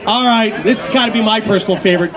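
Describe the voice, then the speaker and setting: A man's voice: high voice, fast talker. A man hosting a pinup contest on stage, joking and teasing the contestants as he goes down the line. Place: outdoor car show. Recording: noisy outdoor crowd over PA.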